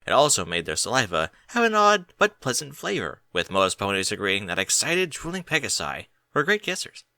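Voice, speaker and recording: A man's voice: fairly low pitch, sing-song, brisk, pushed and bright, no room sound. A man reading a story aloud as scripted narration. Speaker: audiobook reader of fan fiction.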